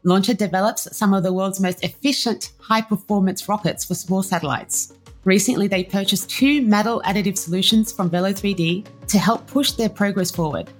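A woman's voice: medium pitch, moderate pace, faint music behind. A woman hosting a podcast who reads a scripted intro and then interviews her guest in a friendly, upbeat way. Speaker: podcast host.

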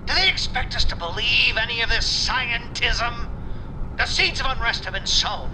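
A man's voice: extremely high pitch, lively intonation, moderate pace. A man playing a fervent, grandiose conspiracy radio host who declaims to his listeners in an audio drama. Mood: fervent, preachy. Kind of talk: conspiracy talk show monologue with sponsor plugs. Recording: very noisy background.

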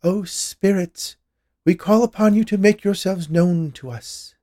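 A man's voice: sits high, a little slow, warm tone, dry recording, clean studio sound, voice pushed up. A man voicing a plump, unperturbed woman spirit medium with a lofty, knowing, explanatory manner in a storybook reading. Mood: solemn, summoning.

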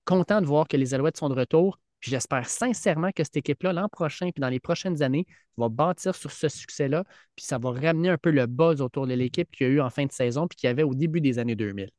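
A man's voice: fairly high pitch, fast talker, darkish tone, no room sound, studio quality. A man with a Quebec French accent co-hosting a sports podcast, talking earnestly with frequent restarts. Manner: earnest, many fillers and restarts. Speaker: podcast co-host, teacher. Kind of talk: casual sports chat. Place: podcast studio.

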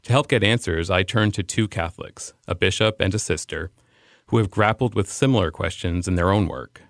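A man hosting a podcast, giving scripted narration in a measured, earnest way. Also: low voice, no echo, studio quality. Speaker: podcast host.

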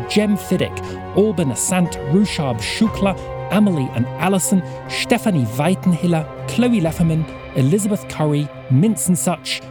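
Lively male credits announcer over music. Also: on the high side. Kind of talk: scripted narration. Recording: music under the voice.

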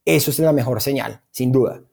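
A man's voice: medium pitch, brisk, creaky, a little mellow, slightly rough. A man with a Colombian accent answering interview questions in an informal, conversational way, often repeating words for emphasis. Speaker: interview guest, startup founder.

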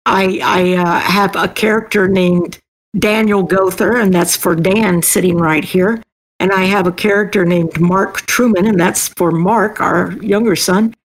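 Middle-aged woman, medium pitch, chatting casually. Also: poor audio. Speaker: guest, self-published author. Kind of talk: casual interview chat.

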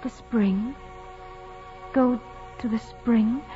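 A woman's voice: a little high, steady tone, extremely slow, soft background music. An actress playing a humble, devout young peasant girl in an old radio drama, earnest, simple and respectful. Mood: eager, wondering. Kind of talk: 1940s radio drama acting. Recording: dull old radio recording.

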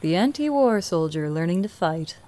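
A woman's voice: fairly low pitch, animated, moderate pace, mellow, a little hiss or hum, close to an everyday voice. An actress playing a plucky, defiant nineteen-year-old woman in a radio drama, dry and self-assured. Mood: dry, alert. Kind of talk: audio drama acting.

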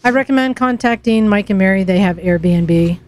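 A woman co-hosting a casual motorcycle podcast, playfully reading a listener email aloud in halting bursts. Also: mid-range voice, darkish tone, slight background noise. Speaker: podcast co-host. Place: garage with a fan running.

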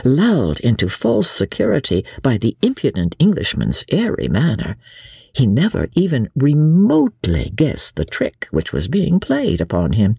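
A woman's voice: extremely low pitch, wildly swooping pitch, normal speed, vocal fry, mellow, breathy edge, no echo, clean studio sound. A woman reading an audiobook as dramatic narration, in a theatrical, suspenseful manner.

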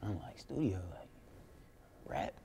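A young man from Flint, Michigan, a rapper telling stories in a casual interview, laid-back and slangy, often trailing off quietly. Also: fairly low pitch, animated, slow pace, gravelly, big-room sound, a little hiss or hum. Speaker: rapper guest. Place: indoor interview room.

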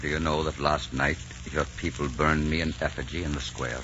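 World-weary romantic adventurer, deep voice, grave delivery, dull noisy vintage radio sound. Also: male voice, little pitch movement, moderate pace. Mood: tender, sorrowful. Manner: grave, ardent, dramatic. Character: brooding, world-weary male adventurer soldier in love. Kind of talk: 1940s radio romance acting.

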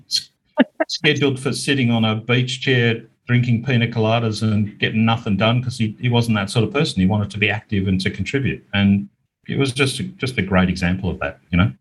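A middle-aged Australian man speaking conversationally and earnestly as an interview guest, with a slightly husky voice. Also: medium pitch, brisk, low-quality recording. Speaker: podcast guest, business owner.

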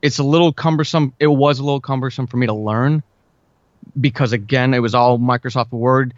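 A man's voice: medium pitch, fairly quick, no room sound, highs cut off. A man chatting informally and earnestly as an interview guest, full of enthusiasm for his craft. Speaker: interview guest, audio drama writer. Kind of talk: casual chat.

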